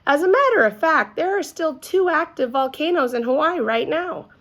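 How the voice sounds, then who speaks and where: A woman's voice: sits high, animated, normal speed, fairly bright tone, pitched above the performer's own voice. A woman voicing a patient, kindly male first-grade teacher in a storybook, explaining things in an encouraging way.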